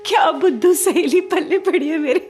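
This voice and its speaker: A woman playing a proud, sharp-tongued young woman in a radio play, firm and dramatic in manner. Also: very high voice, moderate pace. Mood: impatient. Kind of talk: Hindi radio play acting.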